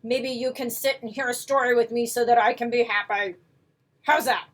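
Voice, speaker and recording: A woman's voice: on the high side, fairly even intonation, normal speed, natural voice. A woman voicing a grumpy bear who talks to children in short, grudgingly polite phrases. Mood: grudgingly friendly. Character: grumpy bear puppet-like character greeting children.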